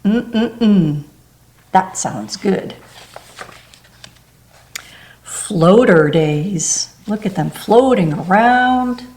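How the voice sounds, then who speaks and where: A woman reading a rhyming children's picture book aloud, gentle and unhurried, with small asides between the pages. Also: on the high side. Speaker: storybook reader.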